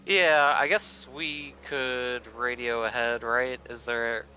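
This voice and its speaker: A man's voice: mid-range voice, fairly even intonation, takes it slowly, sharp tone, dull audio, slight background noise. A man playing a tabletop roleplaying game, talking casually and partly in character.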